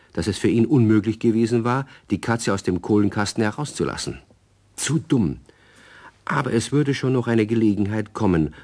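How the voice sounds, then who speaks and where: An actor as the narrator of a children's radio play, telling the story in scripted storybook style. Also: male voice, fairly low pitch.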